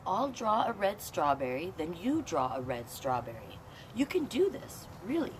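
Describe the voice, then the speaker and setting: An encouraging storybook crayon grown-up coaxes a child along, acted out by a woman reader. The delivery is exaggerated and singsong, pitched well up, with clear noise behind it. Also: big pitch swings, normal speed, poor audio.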